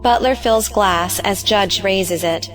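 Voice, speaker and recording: A woman reading stage directions as scripted narration, measured and matter-of-fact. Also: mid-range voice, faint music behind, low-quality recording.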